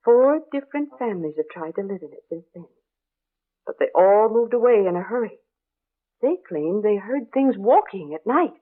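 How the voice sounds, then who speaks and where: A woman's voice: medium pitch, animated, normal speed, close to an everyday voice. Older busybody woman, played by an actress, dramatic and ominous storyteller, 1940s-style radio acting, muffled band-limited recording. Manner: chatty, exclamatory, ominous storytelling. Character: gossipy, superstitious older female neighbor with rheumatism, dramatic and morbid.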